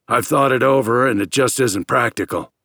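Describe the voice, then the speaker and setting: A man's voice: mid-range voice, normal speed, bright, forceful tone, dry recording, studio quality, voice pushed up. A man voicing an evasive, controlling captor in an audiobook, placating and patronizing. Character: evasive, controlling male captor, coolly placating, secretly menacing.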